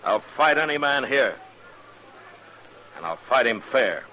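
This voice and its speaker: A man's voice: medium pitch, animated, unhurried, sharp tone. An American actor playing a stern, fearless frontier lawman in a 1950s Western radio drama, terse and commanding, narrating his own story. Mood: firm, challenging. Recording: noisy old radio recording.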